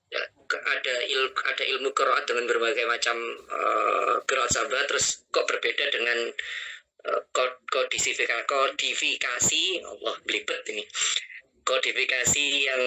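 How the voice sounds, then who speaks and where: A halting man on a video call, his voice very bright and forward. He gropes for words with frequent fillers and false starts as he explains his answer to the class. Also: mid-range voice, slight background noise, low-quality recording. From Madura. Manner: hesitant, many fillers and restarts. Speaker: student.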